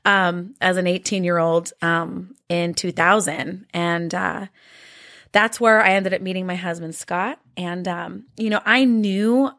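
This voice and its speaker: A woman in her thirties whose first language is Norwegian, speaking as a guest about her upbringing. Her voice sits fairly low, and she talks in an unhurried, reflective, thankful way. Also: fairly even intonation, fairly bright tone. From Norway and Washington State. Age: 30s. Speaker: guest in ministry. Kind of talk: telling her life story in conversation.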